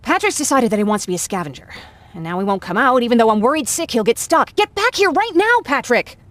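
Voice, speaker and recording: A woman's voice: on the high side, sing-song, fast talker, fairly bright tone, no room sound. An actress playing an earnest, talkative young woman who survived a war, in a video game. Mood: worried, exasperated. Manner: candid, chatty. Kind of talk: naturalistic video game acting.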